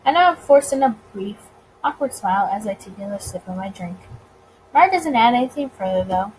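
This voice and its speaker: A woman reading a visual novel aloud in an expressive narrating style, occasionally tripping over words. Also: medium pitch, a little fast, slight background noise, low-quality recording.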